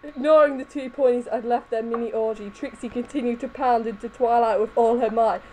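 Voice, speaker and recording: Female amateur story reader, highish pitch, giggle-prone comic delivery, slight background noise. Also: fairly even intonation, a little fast, darkish tone, rough recording. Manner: giggly, often breaking into laughter. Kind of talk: comedic dramatic reading of fan fiction.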